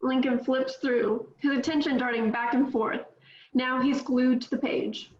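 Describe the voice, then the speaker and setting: A woman's voice: sits high, fairly even intonation, a little slow, a little mellow. Woman reading stage directions, echoey. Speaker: script reader. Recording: echoey room, dull lo-fi sound.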